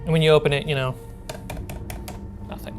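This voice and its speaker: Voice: mid-range voice, little pitch movement, fairly quick. Game master narrating over music. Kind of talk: improvised tabletop game narration. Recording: music playing behind the voice.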